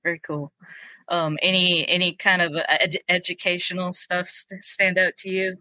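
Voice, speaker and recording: A woman's voice: fairly low pitch, flat delivery, normal speed, bright, forceful tone, no echo. A woman hosting a fishing podcast, encouraging her guests with short affirmations before asking questions. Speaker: podcast host. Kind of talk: interview hosting.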